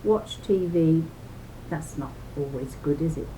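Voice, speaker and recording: A woman's voice: deep voice, measured pace, dark tone, slight background noise, poor audio. A woman reading a children's book aloud in a teacherly, emphatic way, adding chatty asides and questions to her listeners.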